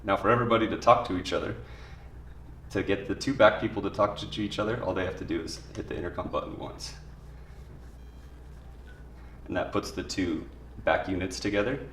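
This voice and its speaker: Male tutorial presenter, low-pitched, plain informal delivery, echoey room sound with faint background noise. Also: quick pace, a bit bright, recorded from a distance. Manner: plain, informal spoken explanation. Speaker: product demonstrator. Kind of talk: instructional product tutorial, step by step.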